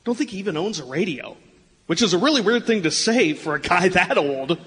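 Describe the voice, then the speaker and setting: An actor playing an eager, self-promoting sports memorabilia dealer in a live radio comedy, with an animated, pushy sales patter. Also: male voice, high-pitched, brisk. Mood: chatty, pushy. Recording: echoey hall, live stage performance.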